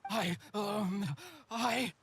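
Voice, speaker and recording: A man's voice: high-pitched, steady tone, extremely slow, a bit bright, slight background noise, heavily acted. A man playing a desperate murderer obsessed with his lost beloved in a video game, stammering and pleading. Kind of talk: dramatic video game voice acting.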